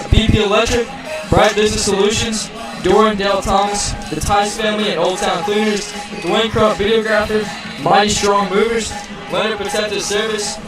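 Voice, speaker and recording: A man with a raspy voice calling play-by-play for a live game, often stumbling and restarting. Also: high voice. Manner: halting, stumbles and restarts on names. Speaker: play-by-play announcer. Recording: echoey, distant mic, loud music.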